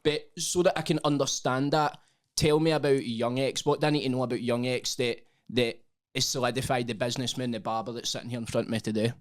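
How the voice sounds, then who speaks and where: A man hosts a casual podcast, throwing out questions in a matey, chatty way. He speaks at a fast clip in an ordinary middle register. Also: mid-range voice, fairly even intonation. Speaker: podcast host. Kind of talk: casual interview. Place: podcast studio.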